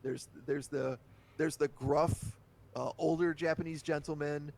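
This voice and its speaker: A chatty podcast guest, a man with a gravelly, crackling edge to his voice that sits a bit higher than most. He talks candidly and loosely, often laughing as he goes. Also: steady tone, unhurried, darkish tone. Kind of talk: casual interview chat.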